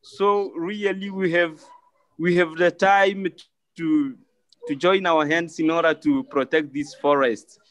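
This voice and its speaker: A man from Congo with a Congolese French accent, his first language French, speaks English on a video call as a climate activist. He talks very slowly and haltingly, doubling words as he searches for them. His voice sits a bit high and sounds dry and close. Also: steady tone, a bit bright, no room sound. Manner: halting, apologetic, repeats words.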